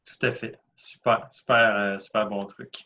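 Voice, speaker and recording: Bright-voiced Québécois male host. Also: on the low side, little pitch movement, measured pace. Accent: Québécois French. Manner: agreeable, summarizing, hesitant. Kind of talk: casual interview chat. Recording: dull lo-fi audio.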